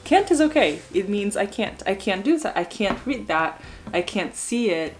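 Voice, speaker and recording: A woman, a guest in a casual chat, relaxed and friendly. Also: medium pitch, moderate pace, soft background music. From France.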